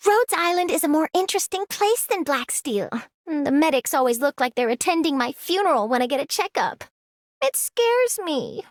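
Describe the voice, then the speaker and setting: A woman voicing a cheeky, adventurous young girl in a game, expressive and informal. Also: high-pitched, moderate pace, no room sound, studio quality. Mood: cheeky, resigned. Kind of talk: game character voice line.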